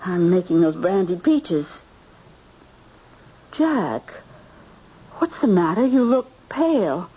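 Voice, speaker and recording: Anxious American mother, lively swooping delivery, husky voice, halting, old radio drama. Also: on the low side, dull audio, exaggerated voice. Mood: concerned. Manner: fretful, halting, repeats herself. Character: anxious, fussing housewife and mother.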